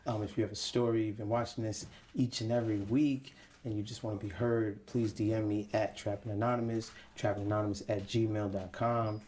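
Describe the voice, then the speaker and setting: A man's voice: on the low side, flat delivery, brisk, darkish tone, slight background noise. A man hosting an interview show, speaking in a presenter's style of narration and host talk.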